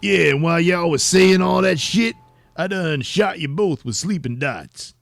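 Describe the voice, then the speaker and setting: A man acting an excitable, slangy character in a cartoonish comic superhero audio serial. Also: high voice, measured pace, gravelly, dry recording, faint music behind. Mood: theatrical, excited.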